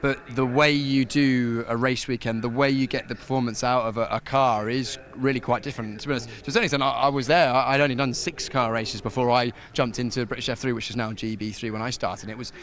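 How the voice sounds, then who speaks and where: A man's voice: mid-range voice, fairly even intonation, fast talker, hardly any pauses, a bit bright. A man working as a motorsport commentator and paddock interviewer, chatting in a friendly style.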